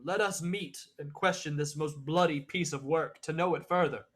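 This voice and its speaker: A man's voice: a little high, moderate pace, close to an everyday voice. A young man acting as an earnest narrator and nobleman in a Shakespeare radio play. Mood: grave. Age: young adult. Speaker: student actor, narrator and supporting parts.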